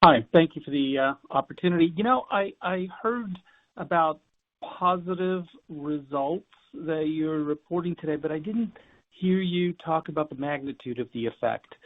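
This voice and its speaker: Male caller, slightly raised pitch, conversational questioning, narrow telephone audio. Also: moderate pace, dry recording. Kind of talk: conversational question on conference call. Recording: dry, narrow phone line.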